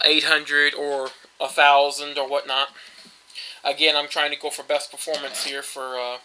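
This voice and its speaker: Bright-voiced man rambling over gameplay. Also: fairly high pitch, low-quality recording. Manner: rambling, filler-heavy, offhand. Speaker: let's play host. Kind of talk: casual gameplay commentary.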